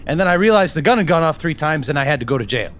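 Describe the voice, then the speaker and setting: A man's voice: fairly high pitch, lively intonation, very fast, a little hiss or hum. Man playing a wildly swinging, jittery trigger-happy shadowrunner. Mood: manic, boastful. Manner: rambling, profane, swinging from hushed menace to outbursts. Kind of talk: improvised tabletop roleplay.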